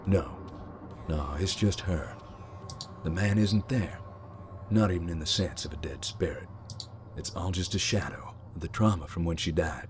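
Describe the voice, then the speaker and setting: A man playing a calm, world-weary ghost investigator in a video game, dry and matter-of-fact. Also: low voice, moderate pace, pauses often, slight background noise, natural voice.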